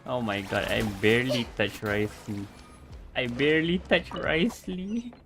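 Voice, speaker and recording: A man's voice: mid-range voice, unhurried. A male streamer giving casual, laid-back commentary while he plays, laughing and swearing freely. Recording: game music behind.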